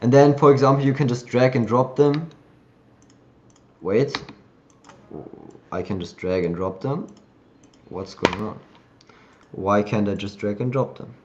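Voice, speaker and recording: A man hosting a live community call, chatting loosely and thinking aloud as he shows software on his screen. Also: medium pitch, a little slow, long pauses, lo-fi sound, noisy background. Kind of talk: casual screen-share tutorial.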